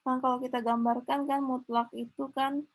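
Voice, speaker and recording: A woman explains a math proof in a relaxed lecture, often pausing with fillers and correcting herself mid-sentence. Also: high-pitched. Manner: thinks aloud, frequent fillers and self-corrections. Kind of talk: informal lecture with screen notes.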